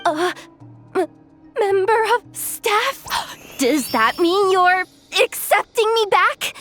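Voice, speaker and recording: A woman's voice: extremely high pitch, animated, takes it slowly, a bit bright, faint music behind, full character voice. An actress voicing a jittery young female shop worker who stammers and gushes. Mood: nervous. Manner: stammering, gushing. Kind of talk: video game dub acting.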